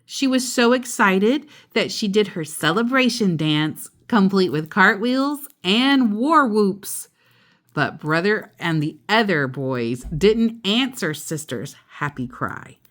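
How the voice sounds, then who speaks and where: A woman's voice: mid-range voice, lively intonation, takes it slowly, a bit bright. A woman reading a picture book aloud to a child, adding simple explanatory asides as she goes.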